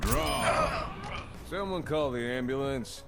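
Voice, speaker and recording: An actor playing a terse, commanding cowboy gunslinger in a video game, with clipped, laconic lines. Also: male voice, medium pitch, slow, vocal fry. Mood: cool, menacing. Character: terse male cowboy gunslinger from a hero shooter. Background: loud music and gunfire.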